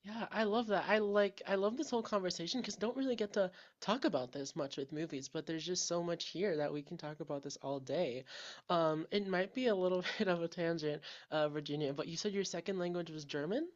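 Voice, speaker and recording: Low-voiced young woman interviewer, muffled. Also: brisk. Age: young adult. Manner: bubbly, affirming. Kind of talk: casual interview. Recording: dull lo-fi sound.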